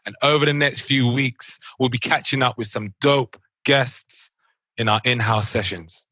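London podcast host, energetic hype, bright forward tone, dry close sound. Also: male voice, medium pitch, unhurried, no room sound. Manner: upbeat, hypes up co-hosts and guest. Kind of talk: podcast hosting.

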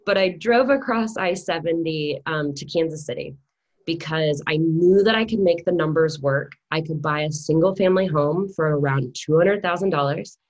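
A woman's voice: deep voice, moderate pace, a little mellow, rough recording. A woman in her thirties with an American accent, speaking as a podcast guest in a conversational storytelling style. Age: 30s. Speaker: podcast guest, real estate investor.

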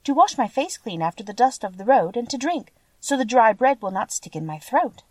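A woman voicing a kind, timid little farm girl in a storybook, with a gentle and earnest manner. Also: on the high side, big pitch swings, brisk, no echo, pitched above the performer's own voice.

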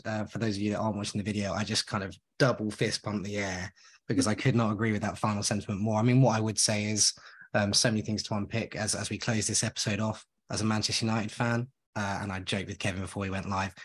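A man's voice: lowish voice, breathy edge. A man co-hosting a podcast in casual conversation, enthusiastic and rambling, speaking straight to his listeners. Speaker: podcast co-host.

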